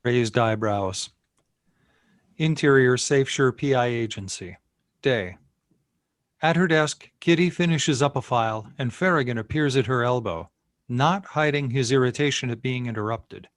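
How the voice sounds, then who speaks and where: A man's voice: medium pitch, measured pace, no room sound, studio quality. A man reading stage directions as narrator at a screenplay table read. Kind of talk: scripted narration.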